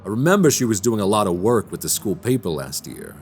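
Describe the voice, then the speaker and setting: A man's voice: lowish voice, sing-song, fast, soft background music. A man playing an affable, self-deprecating bachelor writer in a radio drama, with a wry, theatrical way of talking. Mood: warm, thoughtful.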